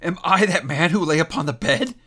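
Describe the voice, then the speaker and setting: A man voicing an excitable old man in a storybook, with fervent, exclamatory, theatrical delivery and a voice that breaks with emotion. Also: mid-range voice, a little fast. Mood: desperate pleading.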